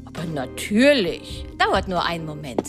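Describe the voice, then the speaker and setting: A woman's voice: lowish voice, very animated, normal speed, music in the background. An actress playing a chatty poison-mixer witch in a radio play, effusive, theatrical and proud of her craft. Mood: expert, proud.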